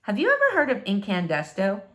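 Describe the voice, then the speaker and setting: Woman voicing an earnest, matter-of-fact girl, lively pitch. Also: a little fast. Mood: matter-of-fact, insistent. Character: earnest, matter-of-fact comic-loving young girl in a children's storybook.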